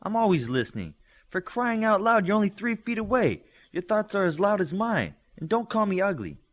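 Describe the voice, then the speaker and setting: A man voicing a witty, bossy young girl student in an audiobook, with a brisk, insistent manner. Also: high-pitched, very animated, voice pushed up. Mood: indignant. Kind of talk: audiobook character acting.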